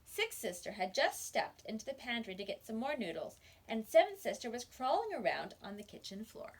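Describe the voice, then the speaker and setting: A woman teacher reading a picture book aloud to young children with expressive storytelling and dramatic pauses. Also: fairly high pitch, husky. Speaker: kindergarten teacher.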